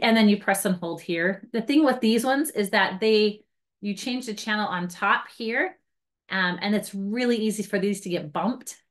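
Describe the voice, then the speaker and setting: Female outdoor-guide trainer, mid-pitched, informal and chatty, talking through a practical demo step by step. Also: a bit bright. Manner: casual, chatty, hands-on step-by-step explaining. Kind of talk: informal instructional demonstration.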